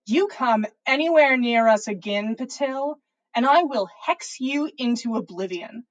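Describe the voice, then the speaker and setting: A woman's voice: a little high, lively intonation, a little slow, muffled recording. A woman voicing a sneering, protective male student who snaps out threats in a story reading. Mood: threatening. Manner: snapping, snarling.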